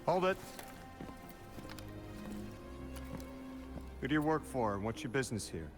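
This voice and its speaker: A wary investigator played by a man speaks with casual, probing wryness at a medium pitch. It plays like a sci-fi game cutscene, with music clearly behind. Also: very animated, rapid-fire, lightly acted. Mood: wary challenge. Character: wary but wry male investigator, science fiction. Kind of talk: video game cutscene dialogue.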